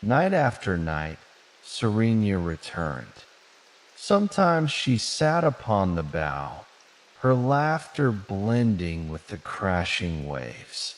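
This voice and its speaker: A man narrating a soothing bedtime story in a gentle, measured, dramatic storybook manner. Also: sits low, big pitch swings. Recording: long cavernous reverb.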